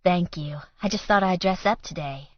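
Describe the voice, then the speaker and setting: A woman's voice: fairly low pitch, normal speed, no room sound, dull audio, close to an everyday voice. A woman voicing a friendly young small-town hairdresser with a light, teasing manner in an audiobook. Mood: light, polite.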